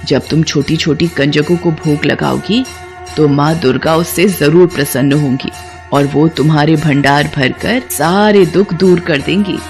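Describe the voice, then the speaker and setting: A woman voicing a gentle, devout old woman in a story, soothing and full of counsel. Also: low voice, measured pace, soft background music. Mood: gentle advising. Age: older.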